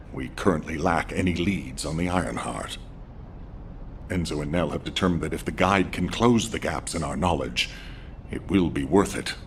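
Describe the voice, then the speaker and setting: An actor playing a stern, wary middle-aged commander in a video game, measured and authoritative. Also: male voice, deep voice, slight background noise. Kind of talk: scripted video game acting.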